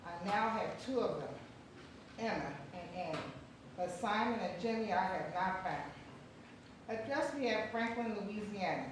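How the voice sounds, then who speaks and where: A woman's voice: mid-range voice, lightly acted. A woman giving a dramatic reading as a formerly enslaved mother searching for her lost children. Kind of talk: dramatic reading of a historical newspaper ad. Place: theatre or hall, recorded from a distance. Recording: echoey hall from far off, noisy.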